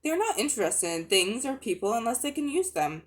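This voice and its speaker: Expressive woman storyteller with animated pitch. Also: fairly quick. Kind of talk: expressive scripted audiobook narration.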